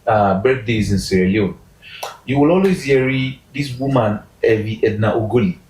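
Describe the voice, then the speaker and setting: A man talking as a guest in a casual interview, animated, pushing his voice up for emphasis and restarting sentences. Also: on the low side, moderate pace. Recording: roomy echo.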